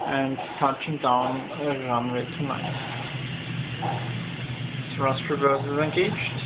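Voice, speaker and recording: A man with a somewhat high voice narrates an informal demonstration. He speaks hesitantly, often pausing in the middle of a sentence. The sound is narrow and tinny like a phone, with some faint noise behind him. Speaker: demonstrator of a home-built flight simulator. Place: indoor home cockpit simulator room.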